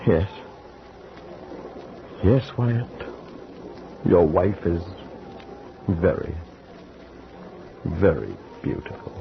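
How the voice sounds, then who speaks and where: A haunted male witness in an old radio drama, speaking slowly and haltingly and stumbling over his words. His voice sits fairly low. The recording is dull and faintly hissy. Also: expressive, creaky, full character voice. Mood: horrified. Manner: haunted, halting storytelling with stammered repeats. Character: shaken man who witnessed a murder at sea. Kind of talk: 1940s radio acting.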